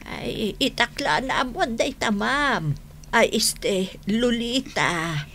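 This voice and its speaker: A woman playing a poor, lonely elderly mother, humble and gently firm, in a radio drama. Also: fairly high pitch, slow pace, exaggerated voice. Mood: sorrowful. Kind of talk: radio drama acting in Ilocano.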